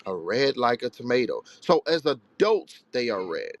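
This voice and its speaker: A man's voice: medium pitch, big pitch swings, normal speed, no echo. A man hosting a live religious call-in show, answering callers in a blunt, rhetorical, streetwise way.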